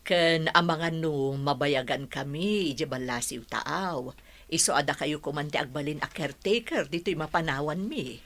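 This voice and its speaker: A woman's voice: low-pitched, moderate pace, a bit bright. A woman with a Filipino accent playing a polite, generous schoolteacher in a radio drama. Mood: gracious. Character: polite, generous schoolteacher employing village caretakers.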